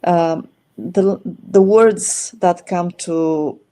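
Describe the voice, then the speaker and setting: Woman, middle-aged, fairly low pitch, warm relaxed tone, thoughtful, hesitant interviewer. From Bulgaria. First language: Bulgarian. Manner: thoughtful, many filler pauses. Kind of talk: casual conversation.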